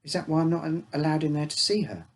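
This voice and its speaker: A man's voice: a little high, expressive, fast, voice pushed up. A man voicing a curious young schoolboy who is eager to impress, in a spooky story reading. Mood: tentative.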